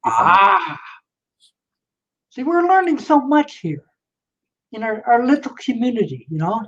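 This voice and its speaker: A woman's voice: on the high side, very animated, unhurried, muffled recording. A woman hosting a casual video chat, upbeat and joking with her guests. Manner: jokey, upbeat. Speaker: chat host.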